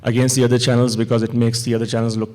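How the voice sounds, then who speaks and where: A man from Pakistan with a Pakistani accent, speaking as a panelist at a marketing conference, relaxed and easygoing with frequent fillers. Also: medium pitch, very fast. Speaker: panelist, airline email marketing manager.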